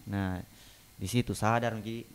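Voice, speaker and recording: A man with a Bugis-Makassar Indonesian accent, recounting things casually as an interview guest in a halting way, repeating phrases. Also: on the low side, steady tone, clean tone. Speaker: podcast guest.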